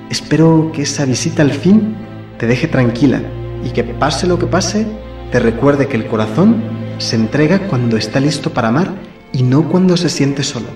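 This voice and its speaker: A man's voice: a little high, a little mellow, lightly acted. Man playing a chatty friend, roomy sound. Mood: fond, reassuring. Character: chatty, affectionate, cheeky male friend from Madrid writing a letter. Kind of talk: radio soap letter read aloud. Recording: roomy, somewhat distant, faint music behind.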